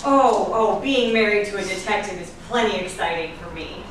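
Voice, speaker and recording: A woman's voice: mid-range voice, normal speed, natural voice. A woman playing a detective's meddling wife in a staged drama reading, earnest and insistent, often hesitant. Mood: nervous, friendly. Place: echoey room at a staged reading. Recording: echoey room, distant mic, noisy background.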